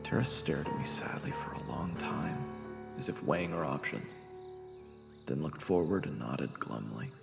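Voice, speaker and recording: An actor playing a weary man recounting his traumatic fantasy adventure in a deliberate, somber way. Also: rumbling low, expressive, vocal fry, in a whisper, exaggerated voice. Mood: somber, reflective. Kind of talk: dramatic first-person story narration. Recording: echoey hall reverb, music behind.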